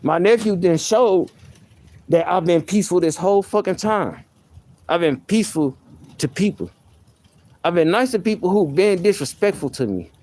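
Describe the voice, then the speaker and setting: A man with a soft voice, venting on a live stream in a heated, profane way, often repeating himself. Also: sits high, animated, normal speed. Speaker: livestreamer.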